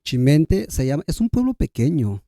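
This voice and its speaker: A man's voice: a little high, very animated, normal speed, warm tone, no room sound, clean studio sound. A Guatemalan man, a native K'iche' speaker, telling his personal story conversationally as a podcast guest.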